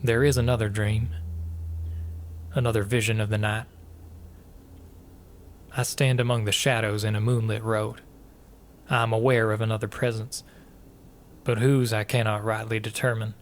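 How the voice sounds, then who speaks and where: An actor playing a tormented husband confessing to murder, reading a gothic tale in a somber, formal, literary manner. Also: male voice, fairly low pitch, flat delivery, normal speed, long pauses, slight background noise, natural voice. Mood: bleak, melancholy. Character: tormented, guilt-ridden husband confessing to murdering his wife. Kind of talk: dramatic first-person reading of a gothic tale.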